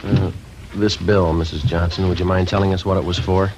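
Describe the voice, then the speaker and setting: An actor playing a terse, unflappable police sergeant in a 1950s radio crime drama, clipped and businesslike. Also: male voice, low voice, little pitch movement, fairly quick, slight background noise. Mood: calm, pressing. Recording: dull old radio recording, loud music.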